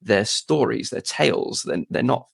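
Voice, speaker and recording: Man, creaky fry, dry sound, casual interviewer, hesitant rambling phrasing. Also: mid-range voice, lively intonation, measured pace, dry recording.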